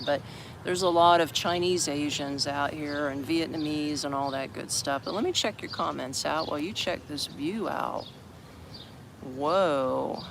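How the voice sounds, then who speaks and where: An American man from Florida chatting casually to live-stream viewers from a city park, rambling and greeting people as they join. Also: a little high. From Orlando, Florida, USA. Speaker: expat livestreamer. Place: outdoors on steps in a busy city park. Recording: noisy outdoor city street. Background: street and traffic noise.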